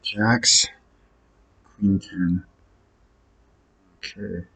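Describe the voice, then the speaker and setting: A man with a low-pitched voice talks slowly as he thinks aloud over an online card game. His delivery is casual and hesitant, muttered half to himself, and he often questions his own choices. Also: fairly even intonation, creaky, a bit bright, slight background noise, rough recording. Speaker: online poker player recording own session.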